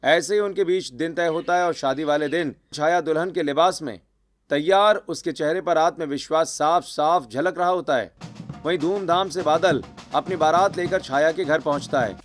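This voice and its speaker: A man's voice: fairly high pitch, normal speed, no room sound, studio quality, soft background music. A man narrating a scripted cartoon family story.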